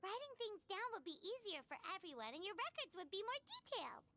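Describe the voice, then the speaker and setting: A woman's voice: very high-pitched, very animated, quick pace, a loud crowd. A woman voicing a tiny, chirpy and earnest childlike girl companion in an anime video game, perky in manner. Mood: curious, earnest. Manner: speaks of self in third person, perky.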